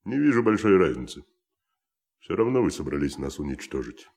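Very deep-voiced, grim general. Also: male voice, very animated, a little fast, vocal fry, a little mellow, full character voice. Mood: grimly bitter. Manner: grim, terse, dry. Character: stern, bitter male army general. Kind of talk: Russian audio drama acting.

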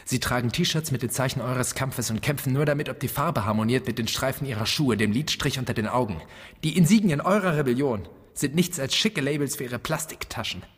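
An actor playing a bitter, reproachful grown son in a literary radio play, declaiming in an accusing, rhetorical way. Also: male voice, mid-range voice, fairly quick, slightly rough, lightly acted. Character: bitter, reproachful grown son confronting his absent father. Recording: long hall reverb.

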